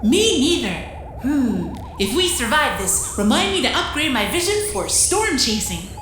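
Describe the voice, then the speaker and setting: A woman playing an excitable, playful, geeky mechanical heroine in an audio drama. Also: high-pitched, a little slow, full character voice. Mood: focused, wry. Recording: echoey room, faint music behind.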